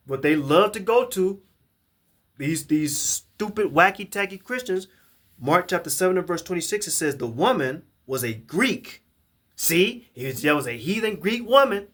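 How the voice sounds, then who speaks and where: A man's voice: fairly high pitch, fairly bright tone, a little hiss or hum. A man giving a scripture lesson to a camera, emphatic and often repeating and restarting his words as he reads verses aloud. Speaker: Bible teacher.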